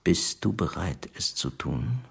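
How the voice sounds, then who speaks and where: Coaxing, creaky-voiced Soviet secret police chief. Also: male voice, deep voice, animated, slow, exaggerated voice. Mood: insinuating. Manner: insinuating, coaxing. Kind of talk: German radio drama acting.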